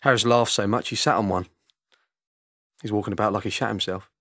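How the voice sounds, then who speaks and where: A man playing an awkward soldier far from home speaking to his wife, halting, self-deprecating and plain-spoken, in a naturalistic monologue. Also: on the low side, steady tone, a bit bright, dry recording, clean studio sound. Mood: awkward, wry. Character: homesick soldier husband awkwardly recording a message to his wife.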